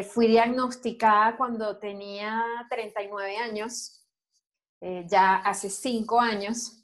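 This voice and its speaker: A Venezuelan woman in her forties, a guest on an interview, recounting her experience in a steady, storytelling way. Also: on the high side. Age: 40s. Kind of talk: video call interview.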